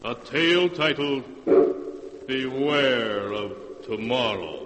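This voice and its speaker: An actor playing an ominous narrator in a 1940s radio drama, speaking slowly and sonorously. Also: male voice, mid-range voice, lively intonation. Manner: slow, sonorous, drawn-out delivery. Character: ominous male narrator of dark tales. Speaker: narrator, keeper of the book. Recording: old radio broadcast, faint hiss, long reverb.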